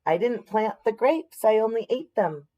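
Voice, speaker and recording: A woman voicing a gentle, accepting gardener neighbour in a storybook, calm and reassuring. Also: a little high, normal speed, highs cut off, pitched above the performer's own voice. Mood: calm acceptance.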